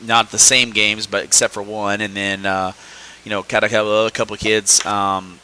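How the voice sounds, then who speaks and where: Halting, bright-voiced coach. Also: male voice, lowish voice, flat delivery, a little hiss or hum. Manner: halting, many fillers and restarts. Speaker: high school basketball coach. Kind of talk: casual podcast chat.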